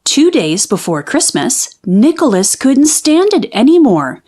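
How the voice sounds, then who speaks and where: A woman reading a children's picture book aloud in an expressive, narrated storytelling style. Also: sits high, measured pace.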